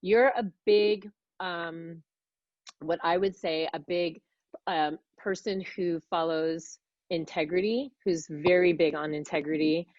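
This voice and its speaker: A woman's voice: lowish voice, fairly even intonation, takes it slowly, no echo. Chatty woman host, dry dull sound. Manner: warm, chatty, laughs often, rambling restarts. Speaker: podcast host. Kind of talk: casual interview chat.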